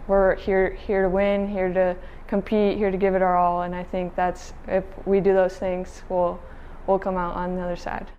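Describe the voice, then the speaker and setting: A young adult woman, a soccer player, speaking in an eager, repetitive pep-talk way. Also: mid-range voice. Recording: dull lo-fi with faint noise.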